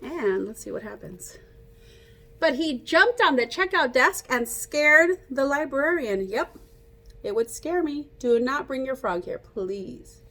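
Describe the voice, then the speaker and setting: A woman reading a picture book aloud like a teacher, pausing to explain and ask young children questions. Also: sits high, expressive, sharp tone, a little hiss or hum. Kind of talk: interactive children's read-aloud.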